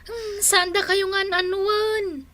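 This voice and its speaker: A woman's voice: very high-pitched, fairly even intonation, slow, bright, forceful tone, exaggerated voice. A young woman playing an exasperated grown daughter with a Filipino accent, plaintive and chiding in a radio drama. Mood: exasperated, embarrassed. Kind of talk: Ilocano radio drama acting.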